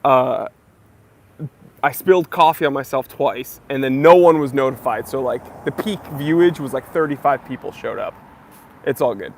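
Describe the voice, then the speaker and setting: A man's voice: medium pitch, moderate pace, vocal fry, slight background noise. A young American man hosting a casual live Q&A stream, greeting viewers by name and joking around, a little wheezy from a recent cough. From United States. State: slightly wheezy, recovering from a cough. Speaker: livestream host. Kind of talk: casual live Q&A chat, reading comments.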